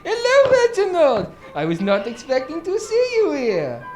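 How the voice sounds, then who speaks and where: Radio drama: woman as pompous male French duke. Teasing, theatrical, slow and swooping, faint music behind. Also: high voice, wildly swooping pitch, darkish tone, heavily acted. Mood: mocking glee.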